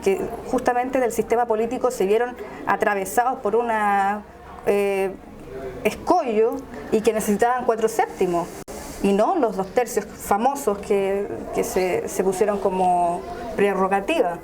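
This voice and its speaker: A woman's voice: medium pitch, measured pace. A woman working as a journalist interviewer, asking probing questions. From Chile. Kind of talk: interview conversation. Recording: crowd murmur behind. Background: crowd noise.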